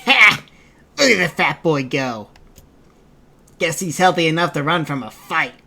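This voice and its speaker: A woman voicing a small, fierce old grandmother who scolds in a rough country drawl, over faint music. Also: low-pitched, animated, unhurried, bright, forceful tone, exaggerated voice. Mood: mocking.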